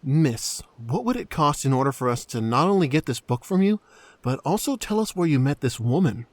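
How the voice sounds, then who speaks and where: A man's voice: mid-range voice, big pitch swings, moderate pace, no echo. A man playing an earnest, cautious and polite cleric in a fantasy audio drama. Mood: earnest, concerned.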